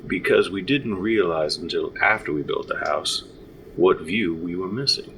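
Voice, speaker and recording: A man's voice: low voice, moderate pace, a bit bright, slight background noise, low-quality recording. A man playing a practical, patient frontier father with dry humor in an audio drama. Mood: matter-of-fact.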